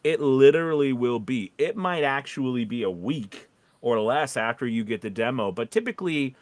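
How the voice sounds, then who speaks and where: A man's voice: mid-range voice, expressive, normal speed, no echo. A man hosting a casual discussion, giving informal advice and often rephrasing as he goes. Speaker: podcast host.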